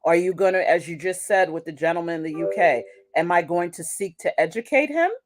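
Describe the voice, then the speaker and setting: Woman, kinda low voice, guest on an interview, thinks out loud and restarts a lot. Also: brisk. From United States. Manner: reflective, restarts sentences, asks rhetorical questions ending in "right?". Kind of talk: conversational interview.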